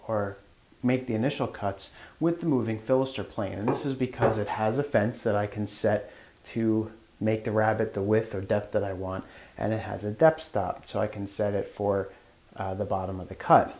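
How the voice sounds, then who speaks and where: Male woodworking host, lowish voice, plain step-by-step explainer, talks while working in the shop. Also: normal speed, darkish tone. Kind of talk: hands-on tool demonstration.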